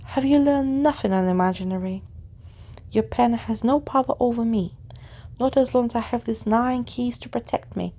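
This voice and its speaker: A woman's voice: on the high side, moderate pace, mellow. A woman voicing a pompous, cheerful male living skeleton in a children's storybook, theatrical and boastful. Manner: theatrical, boastful, uses whimsical invented words.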